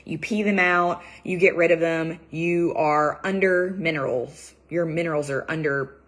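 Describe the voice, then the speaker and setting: A woman's voice: deep voice, unhurried, a bit bright. A woman nutrition coach with a cold, losing her voice, giving a chatty live training talk full of fillers. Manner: chatty, loose, many fillers and "like"s.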